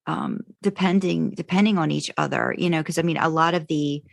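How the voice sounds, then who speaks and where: A woman's voice: low voice, steady tone, brisk, gravelly, dry recording, studio quality. A woman co-hosting a podcast, talking casually and feeling her way through her thoughts as she goes. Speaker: podcast co-host. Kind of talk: casual fan discussion chat.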